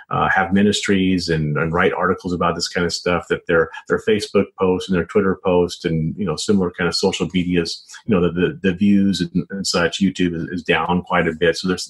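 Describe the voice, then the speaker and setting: A middle-aged or older man answering as an interview guest, speaking conversationally and haltingly, often repeating words and saying "you know". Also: sits low. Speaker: interview guest, journalist and author.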